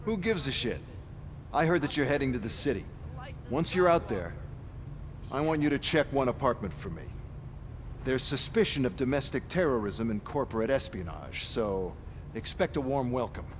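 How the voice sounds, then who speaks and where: An actor playing a curt, menacing superior who gives brusque, commanding orders in a video game. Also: male voice, animated, normal speed, lightly acted. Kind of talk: video game cutscene acting. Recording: long reverb, faint rumbling noise.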